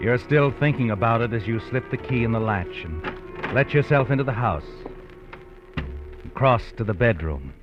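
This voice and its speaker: An actor playing a sardonic, all-knowing storyteller in a 1950s radio drama, narrating in an ominous, insinuating second person. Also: male voice, mid-range voice, animated, moderate pace, close to an everyday voice. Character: omniscient, sardonic male storyteller addressing the guilty man. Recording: dull vintage 1950s radio, music behind.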